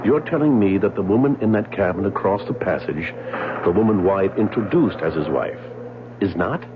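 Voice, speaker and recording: An actor playing an earnest, loyal gentleman husband in a radio drama, often stammering as he talks. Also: low-pitched, animated, normal speed. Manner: earnest, flustered, stammering restarts. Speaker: husband investigating a mystery. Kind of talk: 1970s radio drama acting. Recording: muffled, hissy old radio broadcast.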